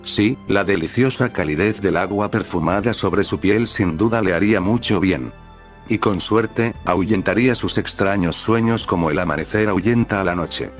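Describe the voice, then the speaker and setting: Low-voiced man narrating a story. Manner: measured reading in short phrases. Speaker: fanfiction narrator. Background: soft background music.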